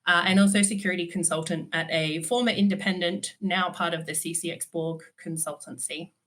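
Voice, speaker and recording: A woman's voice: lowish voice, fairly even intonation, a little fast, a bit bright. A woman, giving a conference talk while stuffy from a cold, candid with dry humour and frequent ums. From Australia. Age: 30s. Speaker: conference speaker.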